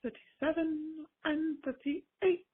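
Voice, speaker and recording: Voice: high-pitched, fairly even intonation, moderate pace, narrow, tinny audio, close to an everyday voice. Seseorang memerankan ksatria konyol yang merengek dan menjerit, membacakan daftar kartu dengan kata-kata diulur dalam pesan suara komedi. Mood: shrill, whiny. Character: shrill, wailing comic knight caller reading card lists. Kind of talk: comedic voicemail message.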